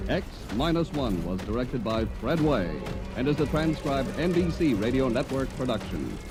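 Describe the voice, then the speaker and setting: A man announcing and narrating a 1950s radio show in a scripted, portentous style with deliberate dramatic emphasis. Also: mid-range voice, very animated. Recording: old-time radio broadcast, loud music behind.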